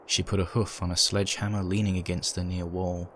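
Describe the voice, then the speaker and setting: A man's voice: low-pitched, a little fast. A man reading a scripted story as narrator, in the manner of a dramatic audiobook. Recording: steady ambient noise behind.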